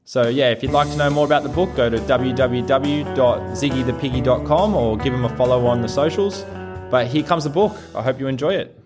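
A man's voice: mid-range voice, brisk, over music. An Australian man who hosts a podcast, talking casually and reading a children's storybook in a playful, encouraging way. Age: adult. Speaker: podcast host.

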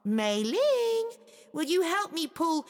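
A woman voicing a clever young girl in a storybook, speaking in a high, sweetly apologetic tone. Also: big pitch swings, takes it slowly, creaky, long reverb tail, exaggerated voice. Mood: sly sweetness.

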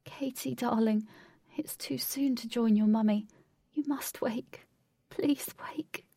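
A woman voicing a grieving, tearful father who pleads in a breathy voice, in a story. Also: on the high side, fairly even intonation, slow pace, warm tone, no room sound, clean studio sound, recorded from a distance, voice pushed up, full character voice. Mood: pleading.

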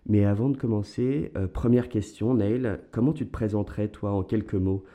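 A man's voice: lowish voice, moderate pace, dark tone. A man hosting an interview podcast, talking casually with frequent hesitations. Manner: hesitant, many fillers. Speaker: podcast host. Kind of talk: conversational interview.

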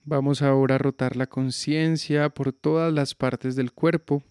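A man guiding a yoga nidra relaxation with slow, calm, soothing instructions. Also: medium pitch, clear, resonant tone, no echo, clean studio sound. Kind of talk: guided relaxation meditation.

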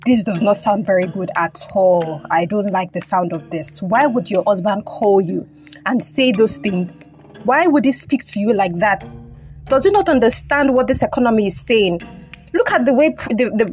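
A woman's voice: medium pitch, darkish tone, close to an everyday voice. A woman playing a concerned, protective, devout friend in an audio drama, with a Nigerian accent and an earnest, emphatic way of repeating phrases. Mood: indignant.

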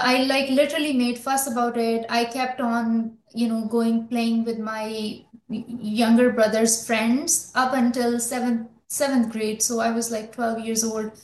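A middle-aged woman from Pakistan, a physician guest recalling her childhood in a rambling way, with many fillers and restarts. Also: a little high. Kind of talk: conversational interview answers. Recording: echoey room.

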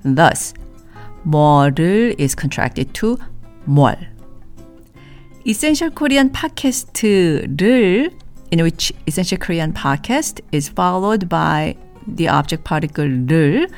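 A woman teaching a language in a scripted podcast lesson, saying model phrases slowly and clearly for listeners to repeat. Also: low voice, very animated, a little mellow, faint music behind.

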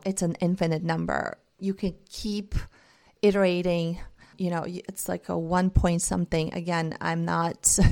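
A woman's voice: fairly low pitch, a little mellow. A woman who grew up in Bulgaria, speaking English as a second language, talks in an earnest, enthusiastic way and strings examples together. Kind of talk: reflective casual talk.

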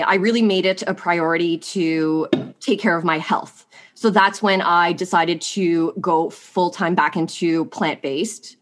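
A woman's voice: fairly low pitch, a bit bright. A young adult woman, sniffly from allergies, guesting on a podcast and telling her personal story in a rambling way, full of likes and you-knows. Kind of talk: candid personal story in conversation.

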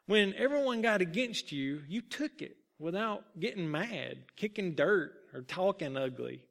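A man's voice: high-pitched, animated, a little slow, gravelly, fairly bright tone, exaggerated voice. A man voicing a curious, blunt boy shortstop in a storybook, with a casual, questioning way of talking. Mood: puzzled, curious.

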